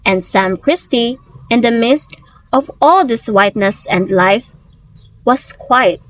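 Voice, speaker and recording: High-pitched young woman narrating a story. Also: animated, takes it slowly, no echo, rough recording. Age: young adult.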